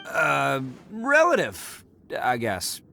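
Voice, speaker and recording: A man's voice: mid-range voice, huge pitch swings, drawn-out words, gravelly, sharp tone, slight background noise, full character voice. A man voicing a boisterous, proud, good-hearted young oni in an anime game, boastful and theatrical, with music underneath. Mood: earnest. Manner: boastful, theatrical, bashful when praised.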